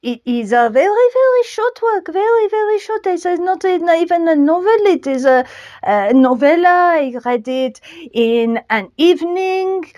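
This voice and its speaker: A woman with a French accent hosting a casual book review. She talks in halting phrases, restarts often, and sprinkles in French words. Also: very high voice, expressive, darkish tone, lo-fi sound. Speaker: book channel host.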